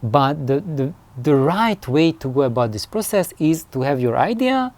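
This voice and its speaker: A man giving an informal explanatory talk in a hesitant, halting way, often repeating words as he goes. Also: on the high side, very animated, darkish tone. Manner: acts out imagined dialogue. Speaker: loudspeaker designer.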